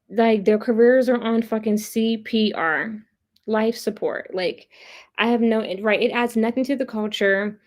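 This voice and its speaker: A woman's voice: on the high side, a little mellow. A woman hosting a casual livestream of commentary, chatting with viewers in a blunt, opinionated, profane way and repeating points for emphasis. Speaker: host of a pop culture commentary livestream. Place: live online stream.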